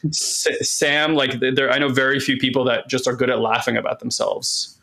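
A man co-hosting a podcast in casual conversation, with a monotone, bassy voice, rambling enthusiastically and swearing casually. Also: moderate pace, creaky. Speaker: podcast co-host.

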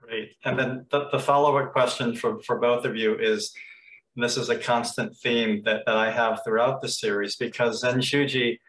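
A man's voice: mid-range voice, fairly even intonation, moderate pace, fairly bright tone. Middle-aged man asking questions in an echoey hall. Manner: rambling, repeats words while framing questions. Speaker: lecture series host. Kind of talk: moderated panel questions. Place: temple hall. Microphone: video call. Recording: echoey room, mic at a distance.

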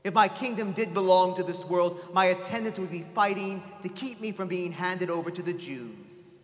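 A man playing a calm, solemn holy man on trial in a scripture reading, measured and gentle. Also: sits high, lightly acted. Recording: echoey hall with long reverb.

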